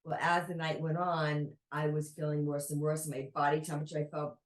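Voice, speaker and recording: Low-voiced woman telling a personal story. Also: level tone. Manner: reflective, unhurried storyteller. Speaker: podcast guest. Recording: roomy echo.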